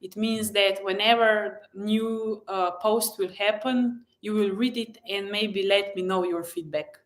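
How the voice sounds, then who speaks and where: A woman who is a native Slovenian speaker, hosting a casual live chat in a gentle, encouraging and effusive way, often pausing mid-thought. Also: medium pitch, steady tone. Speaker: live stream host and blogger.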